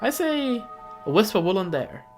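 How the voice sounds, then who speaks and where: A man who speaks English as a second language, streaming a game with casual, halting commentary and lore reading. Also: high voice, very animated, a little mellow, faint music behind. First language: not English. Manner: halting, casual, reacting aloud.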